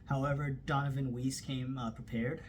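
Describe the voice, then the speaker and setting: A man's voice: mid-range voice, flat delivery, normal speed, a little hiss or hum. A man hosting a solo combat sports podcast, recapping fight results in a casual, unscripted way with plenty of fillers and self-corrections. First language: not Turkish. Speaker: podcast host. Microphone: phone camera.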